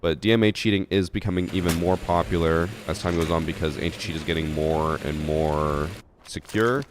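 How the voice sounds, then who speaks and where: A man's voice: deep voice, brisk, noisy background. A young man streaming a shooter game, chatting casually with his chat and thinking aloud with fillers, a little stuffy from a head cold.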